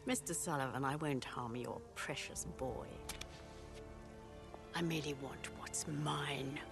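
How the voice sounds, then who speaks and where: An actress playing a cold, haughty older female crime boss with a condescending, deliberate manner in a video game. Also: rumbling low, over music. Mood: cold, controlled. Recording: rain and score behind.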